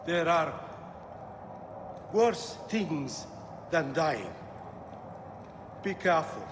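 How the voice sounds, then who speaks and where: Game gladiator, male: beaten and grave, high voice, extremely slow halting delivery, echoing hall with noise. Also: expressive. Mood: solemn. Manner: halting, solemn, cautioning. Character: defeated male arena fighter, grave and halting. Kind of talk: video game cutscene acting.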